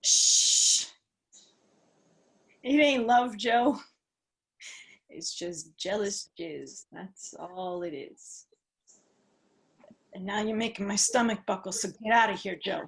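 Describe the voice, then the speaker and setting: Woman acting a guarded, sharp-tongued woman. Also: medium pitch, slow pace, vocal fry, long pauses. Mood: scornful, dismissive. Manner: blunt, sardonic, wary. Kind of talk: dramatic table reading.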